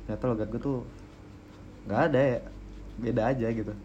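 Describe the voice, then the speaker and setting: A young man telling stories in casual, slangy podcast chat, with no regional accent and a relaxed manner. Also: mid-range voice, brisk, lo-fi sound, slight background noise. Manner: laid-back storytelling, frequent restarts and laughs. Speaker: podcast co-host.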